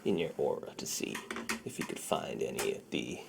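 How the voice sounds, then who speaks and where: A man doing a soft-spoken, half-whispered ASMR roleplay in a soothing, reassuring manner, murmuring and repeating himself close to a sensitive microphone. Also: a bass voice, moderate pace, creaky, noisy background. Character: friendly shady dealer treating a client's tingle immunity. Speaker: ASMR performer.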